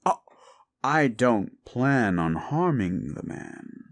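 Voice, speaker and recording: An actor playing an unhinged, paranoid, possessive young prince who speaks with soft menace, breaks into unsettling laughter and drops into whispers. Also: male voice, mid-range voice, very animated, slow pace. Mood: menacing. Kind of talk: audio roleplay drama.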